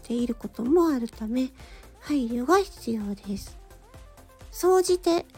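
A woman hosting a solo radio-style talk, speaking politely and gently in short, halting phrases. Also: high-pitched. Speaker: radio show host and amateur voice actor.

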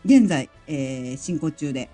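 A woman chatting in a lively, casual way as a guest on a live audio stream, with music behind her. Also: sits low, unhurried. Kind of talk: casual group chat and announcement.

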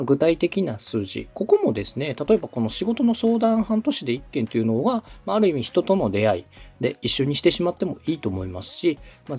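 Husky, animated man coaching politely. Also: a little high. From Osaka, Japan. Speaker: podcast host, radio director coaching a client. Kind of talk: consultation conversation, explanatory.

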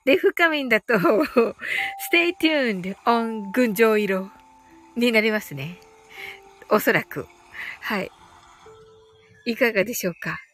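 Casting: woman livestream host, high-pitched and giggly, informal English-phrase teacher, music underneath. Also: expressive, takes it slowly, long pauses, a bit bright. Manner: giggly, often laughing as she talks. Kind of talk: casual chatty livestream, reading listeners' comments.

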